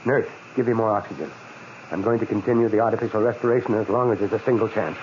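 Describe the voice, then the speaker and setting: Weary, low-voiced surgeon, acted by a man in old radio. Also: quick pace, natural voice. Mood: urgent. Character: weary, dedicated male brain surgeon, earnest and commanding. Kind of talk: 1940s radio acting. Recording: muffled, noisy 1940s radio recording.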